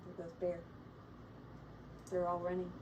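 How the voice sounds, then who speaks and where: A woman teacher reading a children's picture book aloud expressively, pausing to explain words and comment on the pictures. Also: on the low side, monotone, a little mellow, muffled recording. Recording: distant mic with faint background noise.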